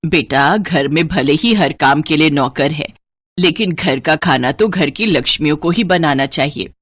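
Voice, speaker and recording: A woman voicing a strict but caring older mother-in-law in a cartoon story, speaking in a measured, admonishing way. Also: sits low, a little hiss or hum, voice pushed down. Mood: gently admonishing. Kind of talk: acted cartoon story dialogue.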